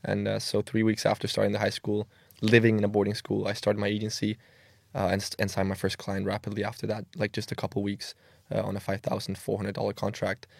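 A twenty-one-year-old man, speaking quickly and earnestly with a gravelly, creaky edge. His voice sits low and dry, close to the mic with no room sound, and he often doubles words as he talks. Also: completely flat delivery, darkish tone, no room sound. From Denmark. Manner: earnest, quick, with frequent word repeats. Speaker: podcast guest. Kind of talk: casual interview talk.

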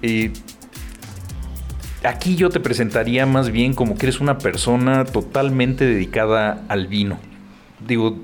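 A man's voice: mid-range voice, a little slow, long pauses, faint music behind. A man hosting an interview show, friendly and echoing his guest's words. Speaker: host.

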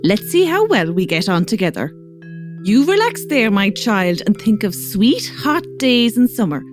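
A woman's voice: medium pitch, darkish tone. A woman playing a kindly, coaxing old woman with a soothing, lulling manner in a fairy-tale audio drama.

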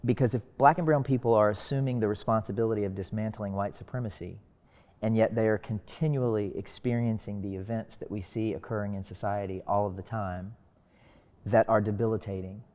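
A man speaking as a discussion guest, explaining earnestly in deliberate, emphatic phrases. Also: lowish voice, warm tone. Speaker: discussion panelist. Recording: reverberant hall-like echo.

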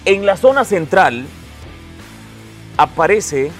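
A man's voice: high-pitched, very animated, slow pace, faint music behind. A man hosting a casual sports chat, playful, laughing often and reading viewer questions aloud. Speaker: host.

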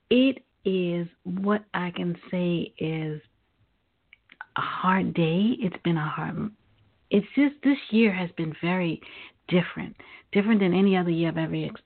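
A woman hosting a live internet talk radio show with a halting, reflective delivery. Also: on the low side, creaky, breathy edge. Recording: phone-like lo-fi internet radio line.

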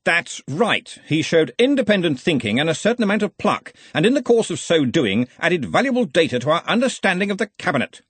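A man's voice: a little high, sing-song, brisk, hardly any pauses, a bit bright, breathy edge, dry recording, voice pushed up, full character voice. A man voicing a brash, cheerful, domineering wizard arch-chancellor in a storybook, blustery and commanding. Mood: cheerfully forceful.

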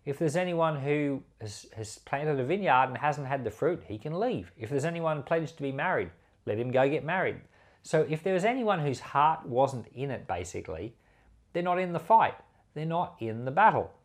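Man preaching with lively, varied pitch. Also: normal speed. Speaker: pastor reading scripture and commenting. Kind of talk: Bible reading with devotional commentary.

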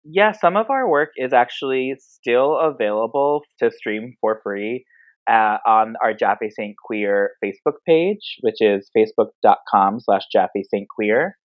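A drag performer from the Philadelphia area as a podcast guest, laid-back and laughing easily, filling talk with "like". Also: male voice, medium pitch, animated, measured pace, no echo, lo-fi sound. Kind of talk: casual interview answers.

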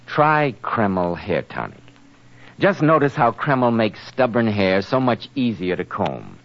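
A man's voice: lowish voice, big pitch swings, takes it slowly. An American man announcing a 1940s radio show in a polished, chummy salesman's style. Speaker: announcer and sponsor pitchman. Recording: dull lo-fi 1940s radio recording.

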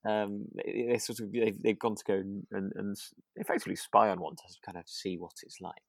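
A man co-hosting a relaxed podcast chat, agreeable and rambling, often feeling for his words. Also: lowish voice, a little fast, vocal fry, no echo. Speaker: podcast co-host.

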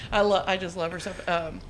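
A woman's voice: fairly low pitch, steady tone, moderate pace, slight background noise. A middle-aged woman hosting a podcast, chatting casually and laughing often. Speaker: podcast host. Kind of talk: casual chat.

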